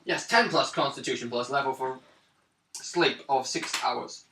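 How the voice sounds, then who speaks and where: A man's voice: mid-range voice, measured pace. A man playing a tabletop role-playing game, chatting casually about play and rules. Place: indoors around a gaming table. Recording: roomy, distant, faintly noisy table recording.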